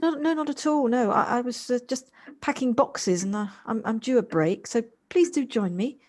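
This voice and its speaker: A woman's voice: on the high side, normal speed, darkish tone, lightly acted. Polite, chatty city career woman, acted. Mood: chatty, slightly flustered. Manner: polite, chatty, slightly flustered. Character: busy city career woman, Jocelyn, polite and slightly self-deprecating. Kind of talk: amateur audio drama acting.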